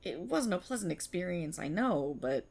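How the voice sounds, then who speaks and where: A woman performs an indignant policewoman who knows she is stuck in a script. Her slightly rough-edged voice swings widely in pitch as she delivers her lines with sarcasm and theatrical exasperation, in the style of a dramatic audio roleplay. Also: very animated, normal speed, darkish tone, full character voice. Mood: relieved, concerned. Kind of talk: ASMR audio roleplay monologue, dramatic acting.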